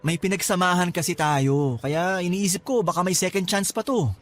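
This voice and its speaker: A man's voice: high voice, moderate pace, a bit bright, soft background music. A young man, played by an actor, in a radio love drama. He sounds remorseful and earnest, pleading with the one he let go to take him back. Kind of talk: Tagalog radio drama acting.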